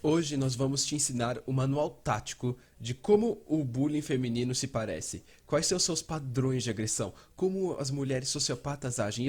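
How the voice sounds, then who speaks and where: Husky-voiced Brazilian man explaining. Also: medium pitch, darkish tone. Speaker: host and fan dubber. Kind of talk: dubbed explainer narration, conversational.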